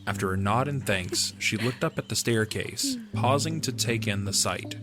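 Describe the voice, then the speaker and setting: A man's voice: fairly low pitch, animated, normal speed. A man reading scripted narration for a fantasy audio drama. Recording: music under the voice. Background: music.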